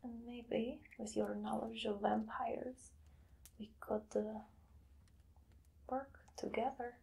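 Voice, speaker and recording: A woman in her thirties whispering as a zealous female vampire hunter in an ASMR roleplay, slow and deliberate. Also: fairly high pitch, vocal fry, dark tone. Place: small dim room indoors.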